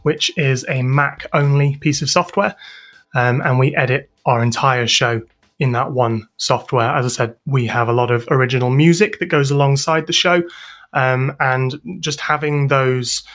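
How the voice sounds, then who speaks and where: A man's voice: mid-range voice, steady tone, moderate pace, a bit bright. A British man giving thoughtful, slightly hesitant explanatory answers as an interview guest. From United Kingdom. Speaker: guest, podcast sound designer and composer.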